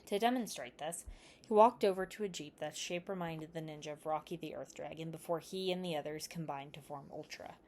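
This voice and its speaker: A woman narrates an adventure story in a fairly low voice. She reads from a script, as a storyteller does in an audiobook. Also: fairly quick, darkish tone, a little hiss or hum. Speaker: fan fiction reader.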